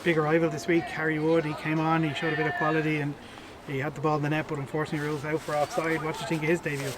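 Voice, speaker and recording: A man's voice: on the high side, level tone, very fast. A man, a club interviewer putting prepared questions to the manager. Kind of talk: post-match interview questions. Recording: loud crowd noise behind.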